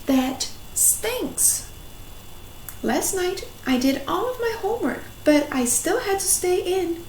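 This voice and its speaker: A woman voicing a grumpy, whiny young schoolboy from a storybook, with exaggerated, drawn-out complaints. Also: extremely high pitch, big pitch swings, a little mellow, pitched above the performer's own voice, heavily acted. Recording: echoey room, faint noise.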